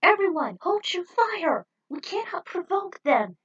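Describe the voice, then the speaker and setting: A woman's voice: extremely high pitch, big pitch swings, unhurried, a bit bright, distant mic, muffled recording, pitched above the performer's own voice, exaggerated voice. A woman voicing a decisive space squad leader in an adventure story, firm and authoritative. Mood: urgent.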